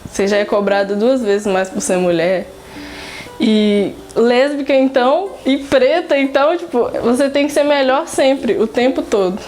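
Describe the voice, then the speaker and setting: A woman's voice: fairly high pitch, moderate pace, a little mellow, soft background music. A woman in a documentary interview, speaking frankly and emphatically in a conversational way. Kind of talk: personal testimony interview.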